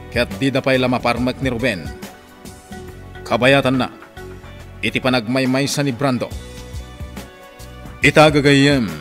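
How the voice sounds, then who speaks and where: Male radio drama narrator, mid-pitched. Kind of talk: scripted dramatic narration in Ilocano serial.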